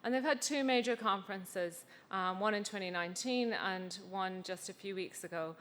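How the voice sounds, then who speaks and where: A woman's voice: medium pitch, normal speed, fairly bright tone. Woman speaking in a reverberant hall. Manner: conversational, self-deprecating, many ums. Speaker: conference speaker, researcher. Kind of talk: conference presentation. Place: conference hall. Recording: long reverb of a conference hall.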